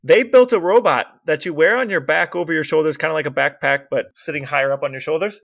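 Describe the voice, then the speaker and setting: A man co-hosting a casual podcast, telling stories with enthusiasm and asking deadpan questions. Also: a little high, quick pace, vocal fry, dry recording. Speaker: podcast co-host.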